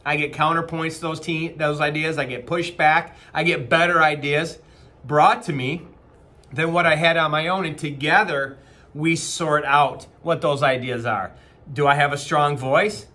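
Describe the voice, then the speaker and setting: A man in his forties speaks earnestly to the camera from a small office, his voice bright and forceful and sitting a bit high. He talks casually and motivationally, with plenty of ums, uhs and restarts as he works through his points. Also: moderate pace. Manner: earnest, conversational, frequent fillers and restarts. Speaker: company leader. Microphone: webcam at desk distance.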